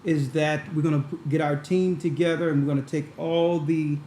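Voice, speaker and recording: A church elder speaks quickly and rambles, restarting phrases as his thoughts run ahead. His voice is a bit high and bounces around an echoey room with a faint hiss. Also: steady tone, dark tone. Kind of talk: talk show commentary.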